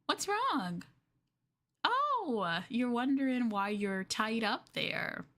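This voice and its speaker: A woman voicing a sweetly possessive, obsessive young girlfriend who holds her lover captive, in a soft, cooing, hesitant ASMR roleplay delivery. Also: fairly high pitch, big pitch swings, takes it slowly, full character voice. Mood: sweetly menacing. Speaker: streamer roleplaying for viewers.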